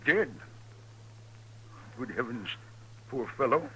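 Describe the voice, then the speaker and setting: A man's voice: mid-range voice, animated, takes it slowly, fairly bright tone, a little hiss or hum, poor audio. A man playing a lonely, fiery old medicine-show professor with florid, formal oratory in a radio western. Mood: dismayed.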